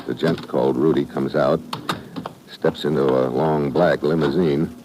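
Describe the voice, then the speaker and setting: Very deep-voiced hard-boiled radio investigator. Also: male voice, fairly even intonation, unhurried, noisy background. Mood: cool, watchful. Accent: American. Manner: clipped, slangy, cool delivery. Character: hard-boiled American male investigator narrating his own case. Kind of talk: 1950s radio noir acting, first-person narration. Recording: old radio recording with loud music.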